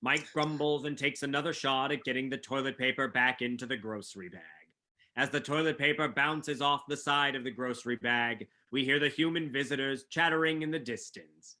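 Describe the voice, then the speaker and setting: A man reads scripted stage directions as narrator. His voice is mid-pitched, with a crisp, bright edge. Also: brisk.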